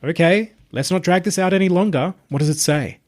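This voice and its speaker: Man voicing a sardonic young woman student, pitched up. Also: fairly quick, lightly acted. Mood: impatient. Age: young adult. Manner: dry, grumbling, blunt. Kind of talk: audiobook character voice.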